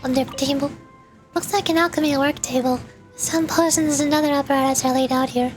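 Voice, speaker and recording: An American woman streamer with a high-pitched voice chats casually through a game. Her manner is playful, with dry little jokes. Music runs clearly in the background. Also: fairly even intonation, fairly quick. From United States. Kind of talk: casual playthrough commentary.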